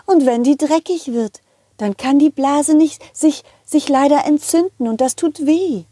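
Loving, motherly centipede lady for a puppet play a woman acts it. Tender, patient, unhurried, high. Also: warm tone, no echo, studio quality. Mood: patient, explaining. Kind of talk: children's puppet audio play.

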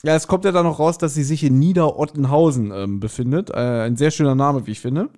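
A man's voice: fairly high pitch, a little fast. A man co-hosting a podcast, casually recapping a story and acting out bits of dialogue as he retells it. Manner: animated retelling with acted-out quotes. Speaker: podcast co-host. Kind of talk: casual podcast chat recapping an audio drama.

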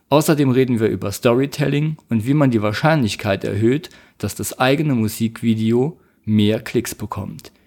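Gravelly, mellow podcast host. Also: male voice, medium pitch. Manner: brief affirmations, calm questions. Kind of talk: podcast interview.

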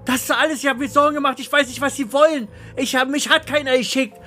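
A man's voice: very high-pitched, fairly even intonation, fast talker, sharp tone, faint music behind, voice pushed up. A man playing a frightened, ordinary accountant in an audio thriller, stammering and repeating himself as he pleads. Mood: panicked. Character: frightened, dull, ordinary male accountant pleading his innocence. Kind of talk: radio thriller acting.

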